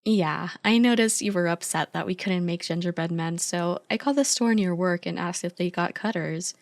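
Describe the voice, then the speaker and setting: A woman's voice: fairly low pitch, moderate pace, no room sound, clean studio sound, close to an everyday voice. A woman playing an affectionate, playful girlfriend in an ASMR roleplay, chatty, teasing and supportive as an eager but clumsy baker. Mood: fond, mildly defensive.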